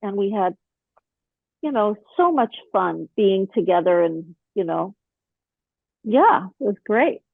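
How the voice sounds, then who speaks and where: Slightly hoarse woman guest, chatty storyteller, mid pitch, dry thin phone-line audio. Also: slow pace, long pauses, no echo. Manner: chatty, animated storytelling with many fillers. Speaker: podcast guest, author and actor. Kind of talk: casual interview chat.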